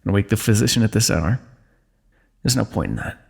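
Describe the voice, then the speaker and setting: A dry, teasing fantasy king, played by a man in an ASMR roleplay, wounded but unbothered. His voice sits low, with a gravelly, husky grain. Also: brisk, heavily acted. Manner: dry, teasing, calm understatement. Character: wry wounded male ruler, teasing old comrade.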